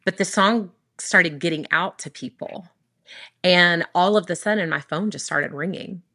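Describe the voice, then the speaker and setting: A young adult woman, a worship singer, tells her story in an interview, talking quickly and animatedly with frequent restarts. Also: fairly low pitch, sharp tone. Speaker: worship singer and recording artist.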